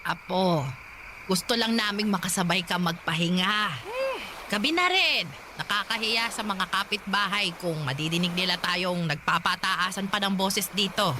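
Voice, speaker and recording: A woman's voice: mid-range voice, moderate pace, background noise, close to an everyday voice. A woman acting as an elderly grandmother in a radio drama, pleading and tearful as she tries to keep the peace. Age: older.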